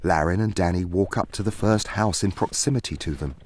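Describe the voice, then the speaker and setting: A man narrating a scripted fantasy story in a dramatic, deliberate way. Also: low voice, husky. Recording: music behind.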